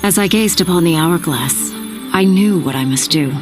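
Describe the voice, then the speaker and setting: A regal warrior queen played by a woman speaks in a slightly low voice. She is formal, earnest and resolute, narrating a game story with music behind her. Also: moderate pace, close to an everyday voice. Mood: solemn, hopeful. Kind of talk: video game cutscene narration.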